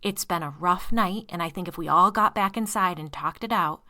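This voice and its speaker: Controlling husband, read by a woman, steady coaxing voice, low and forceful, audiobook. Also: normal speed, no room sound, clean studio sound. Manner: calm, coaxing, then snarling.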